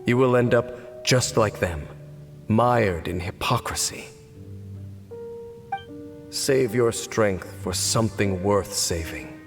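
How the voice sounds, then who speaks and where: An actor playing a grave, sardonic, centuries-old cursed knight in a video game, speaking in measured phrases. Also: male voice, fairly low pitch. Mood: bitter, cynical. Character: world-weary cynical cursed knight, centuries old, male. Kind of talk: video game cutscene voice acting. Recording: reverberant hall sound with music behind. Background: music.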